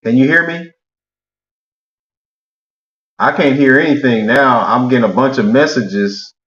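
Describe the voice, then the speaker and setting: A man joining a live show as a guest over a video call, talking haltingly and reading out error messages from his screen. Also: mid-range voice, a bit bright. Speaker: guest actor. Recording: echoey, muffled video call.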